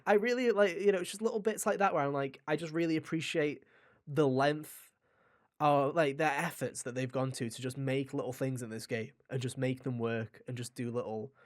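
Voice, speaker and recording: A man's voice: medium pitch, moderate pace. A man hosting a gaming podcast, talking casually in an enthusiastic, rambling way with some swearing. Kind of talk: casual video game discussion.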